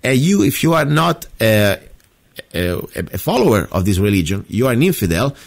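A man's voice: lowish voice, big pitch swings, moderate pace, gravelly. A man from Italy with an Italian accent, hosting a radio call-in show in an emphatic, repetitive way. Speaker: radio talk show host. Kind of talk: opinionated call-in chat.

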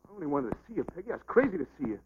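An actor playing an earnest, quick-tempered young American boxer in love, with plain working-class speech in an old film drama. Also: male voice, a little high, sing-song, narrow, tinny audio, a little hiss or hum. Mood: tender, apologetic.

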